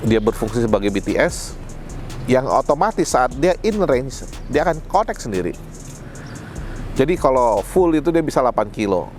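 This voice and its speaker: A man's voice: mid-range voice, big pitch swings, moderate pace, darkish tone, soft background music. A man giving a casual product demonstration, explaining things colloquially and speaking directly to viewers. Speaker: product presenter.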